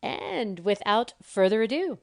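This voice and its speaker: A woman's voice: medium pitch, very animated, a little slow, darkish tone, no echo, clean studio sound. A woman hosting a podcast in a casual, chatty and playful way, with exclamations as she runs quizzes and interviews. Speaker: podcast host.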